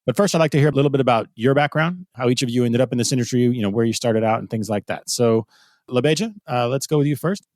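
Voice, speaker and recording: Male podcast host, mid-pitched, upbeat and conversational, dry close-miked sound. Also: fast, a little mellow, no room sound. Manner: upbeat, scripted intro then conversational questions. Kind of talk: podcast interview hosting.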